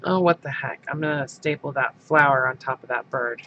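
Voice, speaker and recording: A man's voice: mid-range voice, a little fast, muffled recording, heavy background noise. A man hosting a craft livestream, chatting casually and rambling with lots of fillers, his sinuses stuffed up. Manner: rambling, many fillers and restarts.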